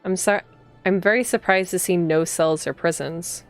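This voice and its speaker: A woman reading as an earnest, uneasy temple police officer who narrates a visual novel in a measured way. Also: fairly low pitch, faint music behind, close to an everyday voice.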